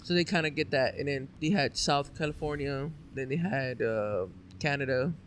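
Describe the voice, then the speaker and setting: A man from Mexico with a Mexican accent and a lisp, a podcast guest talking hesitantly with many pauses. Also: medium pitch, a bit bright, slight background noise. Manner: hesitant, many uhs, agrees often. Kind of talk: casual chat.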